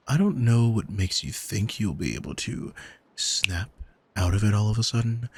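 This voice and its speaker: An arrogant, seductive male demon played by a man in an ASMR audio drama. He speaks very slowly in a breathy, husky voice, condescending and teasing as he manipulates the listener. The sound is close and dry. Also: fairly low pitch, no echo, exaggerated voice. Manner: languid, teasing, commanding, condescending. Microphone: close microphone, dry.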